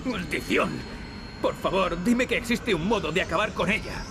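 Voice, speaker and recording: A man's voice: high-pitched, animated, moderate pace, background noise. A man with a Castilian Spanish accent playing a cocky, sarcastic young adventurer in a video game, wry and teasing. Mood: urgent. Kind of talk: video game acting, European Spanish dub. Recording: loud music behind the dialogue. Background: loud game music.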